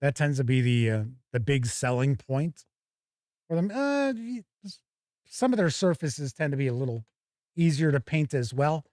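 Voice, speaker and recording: Guy doing a chatty live unboxing for a gaming show, voice kinda on the high side, no room echo. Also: sing-song, moderate pace, darkish tone, studio quality. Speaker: host of a tabletop gaming review show. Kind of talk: casual live unboxing commentary, reading out viewer chat.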